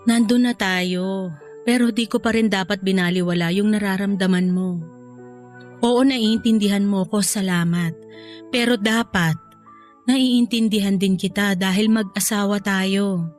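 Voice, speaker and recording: A woman's voice: medium pitch, steady tone, measured pace, soft background music, natural voice. A woman playing a tender, remorseful wife and mother in grief, speaking earnestly and emotionally in a radio-style drama. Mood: remorseful, grieving.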